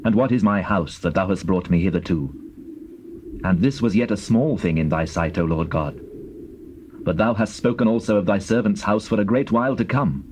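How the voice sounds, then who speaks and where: An actor playing King David as a humble king in prayer, reverent, measured and formal, in dramatized scripture. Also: on the low side, a little mellow, slight background noise, lightly acted.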